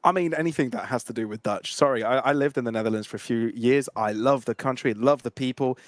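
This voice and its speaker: A man's voice: mid-range voice, fast talker, no echo. A man whose first language is Greek, bantering playfully as an esports caster and laughing often. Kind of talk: casual banter between casters.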